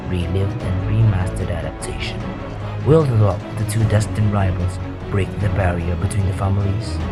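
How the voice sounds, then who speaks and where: A man narrating a scripted promotional trailer in a dramatic style. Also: deep voice. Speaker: trailer announcer.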